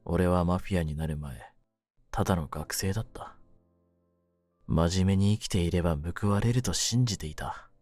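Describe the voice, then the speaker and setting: A man acts out a young mafia kidnapper in a low, cool voice. He talks roughly and deliberately, jaded and domineering with a hidden tenderness. The sound is close and dry, with faint music behind this audio drama. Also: darkish tone, no echo, close to an everyday voice. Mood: tender, persuasive. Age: young adult. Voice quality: low-pitched. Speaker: voice actor in a situation voice drama. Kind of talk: Japanese situation-voice audio drama addressed to the listener.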